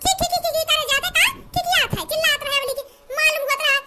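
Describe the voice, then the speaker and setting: A woman voicing a cranky old village mother-in-law in a cartoon comedy, grumbling and scolding in an Awadhi accent with an artificially raised pitch. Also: steady tone, fast talker. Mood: angry scolding. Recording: noticeable background noise.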